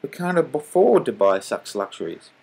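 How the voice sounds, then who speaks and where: A man voicing a kindly, poor old grandmother who speaks gently and apologetically. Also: mid-range voice, big pitch swings, moderate pace, vocal fry, slightly rough, voice pushed up, exaggerated voice. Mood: gentle.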